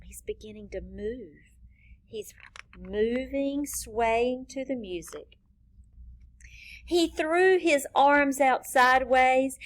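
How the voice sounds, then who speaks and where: A woman reading a picture book expressively to young children, with deliberate teacherly phrasing and asides to the listeners. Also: sits high. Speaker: children's read-aloud reader pointing out rhyming words.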